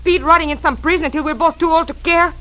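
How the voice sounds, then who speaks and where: A woman's voice: extremely high pitch, fairly even intonation, fast, bright, forceful tone. A woman playing a stubborn, defiant lover of a fugitive in an old radio crime drama, speaking dramatically. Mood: bitter, resolute.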